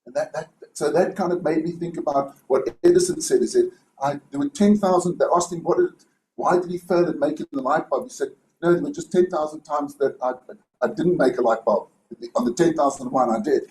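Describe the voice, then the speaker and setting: A man with a loud booming voice, giving rambling spoken feedback on a speech at a club meeting. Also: brisk. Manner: rambling, many restarts and fillers. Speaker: speech evaluator. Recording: echoey room with faint hiss.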